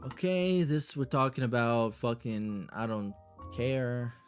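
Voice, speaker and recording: A man's voice: mid-range voice, takes it slowly, music in the background. A man hosting a reaction show in a casual, blunt, sardonic way, reading messages aloud and swearing as he comments.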